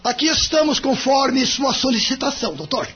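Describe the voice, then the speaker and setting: Man acting a husky, wounded older Brazilian husband. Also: extremely high pitch, big pitch swings, normal speed, fairly bright tone, soft background music, heavily acted. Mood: stiff, formal. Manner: formal, emotional, insistent. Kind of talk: melodramatic audio drama acting. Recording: muffled lo-fi audio.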